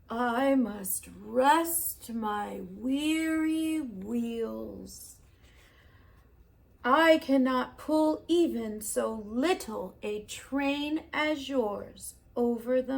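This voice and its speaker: A worn-out, rusty old engine from a children's story, voiced by a woman, who speaks slowly and with sighs. Each line trails off quietly, as if he can barely keep going. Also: sits high, vocal fry, exaggerated voice. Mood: weary. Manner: sighing, slow, fading to a whisper.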